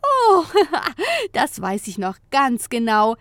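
A woman's voice: a little high, huge pitch swings, takes it slowly, a bit bright, dry recording, exaggerated voice. A woman playing a grumpy, lovesick young modern witch in a comic audio drama, exasperated and protesting. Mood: indignant.

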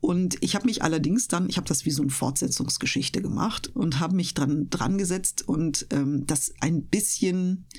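A woman's voice: fairly low pitch, moderate pace, hardly any pauses, a little mellow. A woman chatting casually as an interview guest, explaining things at length in a talkative, storytelling way. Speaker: interview guest, voice performer and live reader.

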